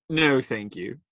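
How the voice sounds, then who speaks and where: A man plays a teasing outlaw in a radio play, tossing out cheeky banter with a widely swinging pitch. The delivery is unhurried and theatrical, recorded close and dry. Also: a bit bright, breathy edge, dry recording, full character voice. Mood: cheeky, incredulous.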